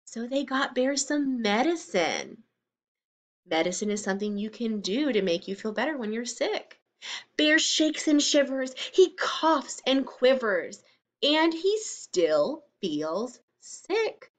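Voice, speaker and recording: Woman, slightly high voice, animated intonation, unhurried, teacher-like, children's read-aloud, simple direct explanations. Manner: expressive, addresses young children directly.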